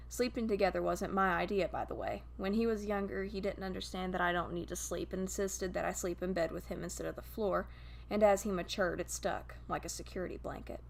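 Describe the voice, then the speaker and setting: A woman's voice: mid-range voice, gravelly. A woman reading a scripted story aloud as narrator. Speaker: podfic reader.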